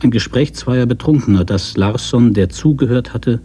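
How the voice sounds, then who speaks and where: A man narrating a literary radio drama from a script in a measured, sober manner. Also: medium pitch, mellow, a little hiss or hum.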